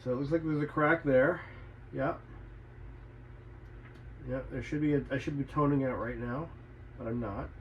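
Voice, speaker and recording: A man's voice: medium pitch, steady tone, moderate pace, pauses often, muffled recording, slight background noise. A man who works as a repair technician, talking unscripted as he inspects his work, thinking aloud, repeating himself and swearing mildly. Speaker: radio repair technician and channel host.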